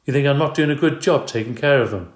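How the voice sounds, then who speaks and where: A man's voice: mid-range voice, expressive, normal speed, reverberant, pitched above the performer's own voice, natural voice. A man reading aloud, voicing a weary unemployed father who defends himself.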